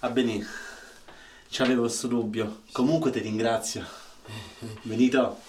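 A man playing a troubled, rough-talking Roman in a radio drama, with a Roman Italian accent and colloquial, emphatic delivery. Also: mid-range voice, fairly even intonation, unhurried, a little mellow, a little hiss or hum, lightly acted. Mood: humouring, wary. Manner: colloquial, emphatic, short bursts of questions.

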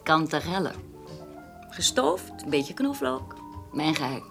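A self-assured woman in a radio play, her voice slightly low. She is direct and teasing, answering in short, frank phrases. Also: very animated, slow, music in the background, heavily acted. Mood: playful, teasing. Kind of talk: naturalistic radio drama dialogue.

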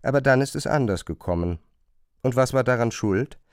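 A man narrating a literary radio play, retelling a chambermaid's grievances in an expressive, emphatic way. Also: medium pitch, normal speed, clean tone, no echo, clean studio sound, natural voice. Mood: engaged, aggrieved.

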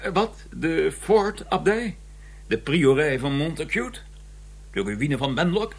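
A man's voice: fairly high pitch, sing-song, takes it slowly, heavily acted. An actor playing a courteous, earnest academic in a radio drama, hesitant and emphatic, repeating his words when flustered. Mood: eager. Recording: clearly noisy, humming old recording.

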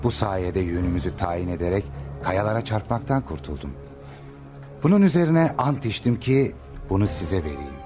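A male actor playing a hesitant, grateful foreign merchant in a radio play, speaking haltingly. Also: low voice, animated, music in the background. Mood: nervous, grateful. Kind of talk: Turkish radio theatre acting.

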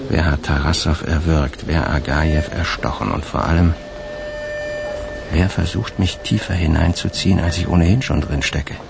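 A man's voice: extremely low pitch, vocal fry, background noise, heavily acted. An actor playing a cynical, brooding man on the run, thinking aloud in a radio drama. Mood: calculating. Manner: brooding, self-questioning. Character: hunted male suspect, cynical, brooding, plotting to hide. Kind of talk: radio drama inner monologue. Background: music.

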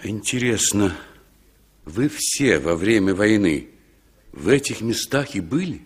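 Courteous, curious gentleman, husky, very animated intonation, polite, vintage Soviet radio drama. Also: on the low side, slow pace, heavily acted. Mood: inquisitive. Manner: polite, genteel. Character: courteous, inquisitive male passenger, gently condescending.

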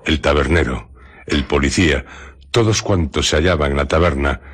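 A man with an exceptionally deep voice narrates a radio play. His delivery is measured and dramatic, with faint noise on the recording.

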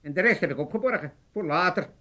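Old Amsterdam dad, cheeky gambler, very animated pitch, hesitant roundabout talker, comic radio play. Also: male voice, fast talker. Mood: pleased. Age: older. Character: old father, chatty, cheeky, easygoing gambler.

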